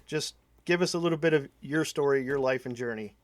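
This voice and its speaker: A man hosting a casual podcast interview, talking in a halting way, searching for words and repeating phrases. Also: medium pitch, no echo. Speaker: podcast host interviewing a guest.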